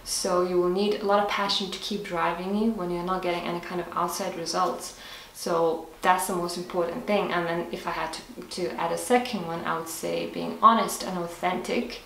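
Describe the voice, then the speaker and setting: This is a woman with a fairly low voice, answering her viewers' questions in a casual vlog. She thinks aloud and explains things step by step. Her voice comes from a little way off the mic in a reverberant room with faint background hiss. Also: level tone, normal speed, creaky, a bit bright, recorded from a distance. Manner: thoughtful, explains step by step with small restarts. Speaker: health vlogger. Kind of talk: casual Q&A talk to camera.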